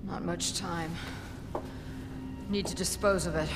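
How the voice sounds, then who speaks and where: A woman's voice: fairly low pitch, fairly even intonation, slow pace, big-room sound, over loud music. An actress playing a desperate, defiant female scientist who talks hurriedly and shrieks in defiance.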